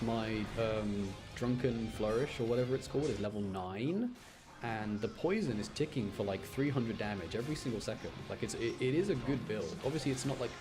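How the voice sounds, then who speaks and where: A man giving casual gameplay commentary in an excitable way, repeating phrases for emphasis as he shows clips. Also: mid-range voice. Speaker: gamer showing gameplay clips.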